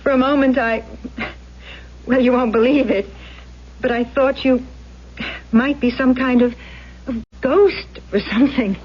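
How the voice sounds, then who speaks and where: Husky, fluttery radio-drama heroine. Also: female voice, high-pitched, fairly even intonation, unhurried, pauses often. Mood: hesitant, curious. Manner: hesitant, fluttery, stammering politely. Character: hesitant, easily charmed aspiring actress, polite single woman. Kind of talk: old-time radio drama acting. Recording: dull old radio recording.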